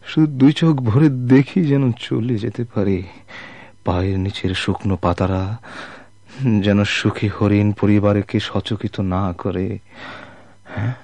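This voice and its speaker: A long-lost sailor in a radio play, worn down and grieving for his family. His husky voice moves very slowly through halting, repeated phrases full of anguish and self-sacrifice. The sound is close and dry. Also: on the low side, a little mellow, no room sound. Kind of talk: radio drama monologue.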